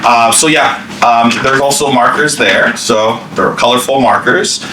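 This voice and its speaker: A man's voice: mid-range voice, unhurried. A man leading a staff training workshop in a meeting room, speaking informally with many fillers and restarts. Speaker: workshop presenter. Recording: echoey room from a distance, faint hiss.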